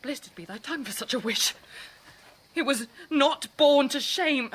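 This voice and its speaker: High, impassioned young bride. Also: female voice, unhurried. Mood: indignant, grieving. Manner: theatrical, impassioned verse delivery. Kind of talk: classical Shakespearean radio drama acting.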